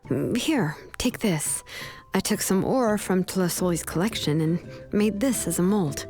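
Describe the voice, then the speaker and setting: A woman voicing a kind, steady young craftswoman in a video game, with an unhurried, reassuring manner. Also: mid-range voice, soft background music, natural voice. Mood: gentle, consoling.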